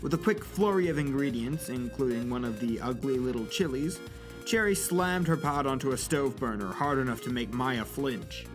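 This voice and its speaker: Medium-pitched male story narrator over soft music. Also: animated, moderate pace, low-quality recording. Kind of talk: scripted story narration for audio drama.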